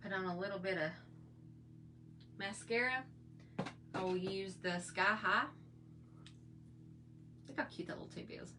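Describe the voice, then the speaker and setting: A middle-aged woman with a slightly hoarse voice, chatting in a folksy, rambling way while she shows her makeup routine. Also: medium pitch, unhurried, long pauses, over music. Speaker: makeup vlogger. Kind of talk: casual makeup tutorial chat.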